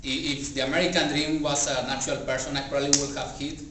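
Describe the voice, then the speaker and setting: A man who speaks English as a second language gives a personal motivational speech to a live audience, halting and pausing deliberately as he goes. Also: medium pitch, little pitch movement, fairly bright tone. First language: not English. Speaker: club member giving a practice speech. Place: indoor meeting room before a small audience. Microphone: distant camera or room microphone. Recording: very echoey room, distant mic, faint noise.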